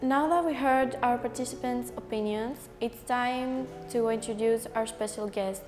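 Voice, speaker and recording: A young woman hosting and introducing a guest in a student show, slightly halting with "uh". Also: fairly high pitch, a little mellow, long reverb tail, faint music behind. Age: young adult. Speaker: show host interviewer. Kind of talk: studio interview introduction.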